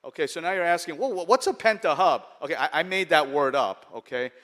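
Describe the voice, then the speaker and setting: Keynote speaker: middle-aged American man, New York accent, slightly high, chatty and joking. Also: animated, fast, a bit bright. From United States. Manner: conversational, humorous, checks in with "okay?". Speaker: venture capital investor and keynote speaker. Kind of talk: conference keynote speech. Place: large conference hall.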